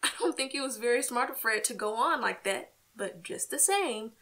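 A woman playing a patient, placating wife in an old-time radio comedy, soothing and gently pleading. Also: on the high side, animated, moderate pace. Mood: reassuring. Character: patient, placating wife smoothing over quarrels.

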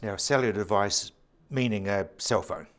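A man's voice: lowish voice, normal speed, fairly bright tone. A man, an interviewer asking short, prompting questions.

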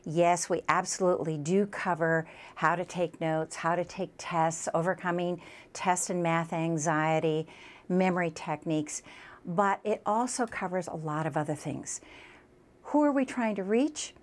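A woman's voice: lowish voice, a little slow. A woman working as a college adviser, giving an informational presentation with emphatic, explanatory delivery.

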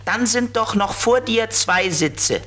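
A man voicing a grumbling flying companion with a husky, expressive voice in a children's radio play. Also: high voice, slow pace, full character voice. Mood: patiently explaining.

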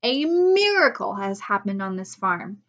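Woman acting an earnest, awestruck farmer, forcefully emphatic. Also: medium pitch, big pitch swings, measured pace, muffled recording. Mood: awestruck, insistent. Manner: solemn, emphatic, insistent. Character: earnest, awestruck middle-aged farmer husband.

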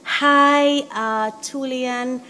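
A woman hosting a podcast, casually sharing encouraging tips while walking on a treadmill indoors, repeating her points gently. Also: high-pitched, steady tone, drawn-out words, slight background noise. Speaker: podcast host.

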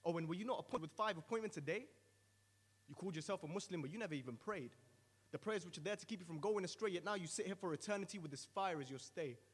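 A man's voice: a little high, racing, hardly any pauses, a little mellow, in a large hall, natural voice. A man voicing a stern male angel of punishment who delivers a roaring, solemn condemnation in rhyme. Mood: condemning.

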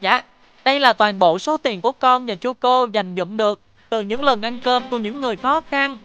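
Eager young cartoon boy played by a woman, very high and bright, exclamatory, dry sound over music. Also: moderate pace, clear, resonant tone, no room sound, muffled recording, lightly acted. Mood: earnest. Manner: exclamatory, childlike phrasing. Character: cheerful eager little boy, a schoolchild. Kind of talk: children's cartoon voice acting.

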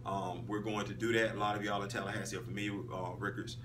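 A man in his thirties hosting a casual flag football league recap, talking emphatically and swinging between loud call-outs and low asides. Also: level tone, fast. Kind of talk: casual sports recap monologue. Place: small indoor room, in front of a wall poster. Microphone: phone camera at arm's length. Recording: phone audio, a bit distant, faint hum.

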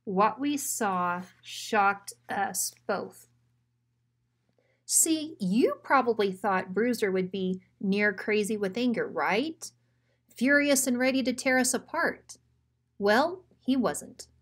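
A woman's voice: medium pitch, slow, fairly bright tone. A woman narrating a children's story expressively, as a school principal reading aloud.

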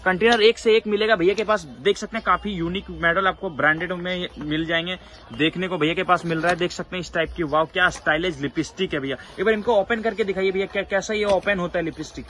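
A man vlogging from an outdoor wholesale market, excitedly quizzing a shopkeeper about prices and repeating them for viewers. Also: sits high, quick pace. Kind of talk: upbeat wholesale market vlog.

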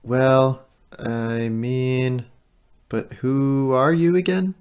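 Teenage boy, fifteen, male actor, mid-pitched, puzzled and hesitant, unhurried audio play delivery. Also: steady tone, a little mellow, clean tone. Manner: casual, halting, unsure.

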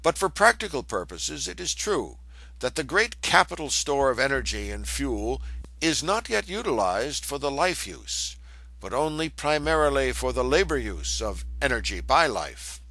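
A man reading an old scholarly lecture aloud in a measured, formal manner, with the occasional stumble over a word. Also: mid-range voice, big pitch swings, gravelly, pushed and bright, no room sound, poor audio. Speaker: reader of a historical lecture text.

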